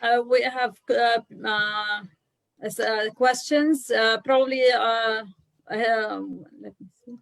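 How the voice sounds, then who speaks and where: A woman's voice: fairly high pitch, fairly even intonation, takes it slowly, creaky, fairly bright tone, dry recording, low-quality recording. A woman moderating a video call event, hesitant with many fillers. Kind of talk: casual chat on a video call.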